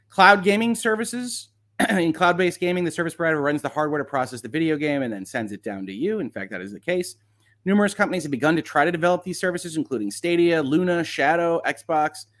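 A man's voice: a little high, a bit bright. A man hosting a livestream of legal commentary, talking casually and reading aloud with wry, sardonic asides.

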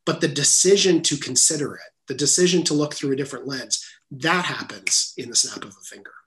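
A man speaking as an author guest in an interview, earnest and measured, sometimes repeating words as he rephrases. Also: medium pitch, a bit bright. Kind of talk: reflective interview talk.

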